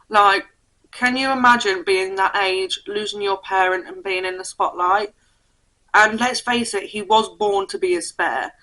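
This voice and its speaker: A woman's voice: medium pitch, measured pace, bright, forceful tone, rough recording. Chatty young woman with a cold. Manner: chatty, reads blurbs aloud, stumbles on names. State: croaky with a cold. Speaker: book vlogger. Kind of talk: casual book haul chat.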